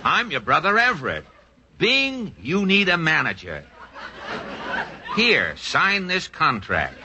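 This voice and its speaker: A noisy, crackly 1940s radio recording carries a blustery, gluttonous older brother who fancies himself a big businessman. His voice is fairly high and lively, insistent and self-important, built for sketch comedy. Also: slow, full character voice. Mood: bossy, scheming. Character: pompous, gluttonous, scheming older brother turned showbiz manager.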